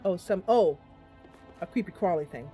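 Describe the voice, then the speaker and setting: A woman's voice: lowish voice, lively intonation, normal speed, mellow, soft background music. A woman hosting a game stream, giving casual live commentary and thinking aloud through her choices as she chats to viewers. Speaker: game streamer, host of the channel.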